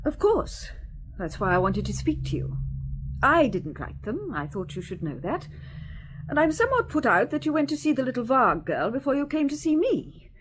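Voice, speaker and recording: High, swooping haughty society wife. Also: female voice. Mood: put out, direct. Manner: imperious, dramatic, deliberate pauses. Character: haughty, anxious middle-aged wealthy wife, blunt and insinuating. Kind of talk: radio detective drama acting.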